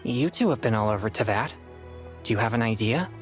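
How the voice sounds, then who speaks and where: A woman voicing a calm, serious, thoughtful teenage boy in a video game, with a reserved way of speaking. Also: rumbling low, brisk, over loud music. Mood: curious.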